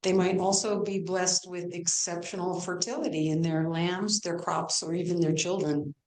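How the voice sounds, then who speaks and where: A woman playing a proud pagan Irish goddess in an amateur stage play, presenting herself in measured, scripted lines. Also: fairly low pitch, steady tone, a little mellow, lightly acted. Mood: stately, proud. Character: triune pagan Irish goddess, proud, maiden, mother and crone. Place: church hall, live performance. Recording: roomy, echoing hall sound.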